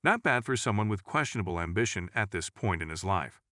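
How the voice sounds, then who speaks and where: A man narrates a scary story in the first person from a script. His voice sits on the low side and moves with plenty of expressive rise and fall. The sound is dry, with hardly any room around it. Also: fairly quick, no echo.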